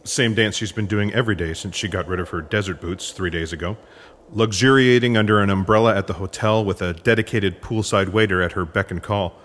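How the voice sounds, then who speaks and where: A dry-witted, patient intelligence agent acted by a man for comic sci-fi audio theatre. His voice sits a little low and stays wry. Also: a little fast, fairly bright tone, a little hiss or hum, lightly acted.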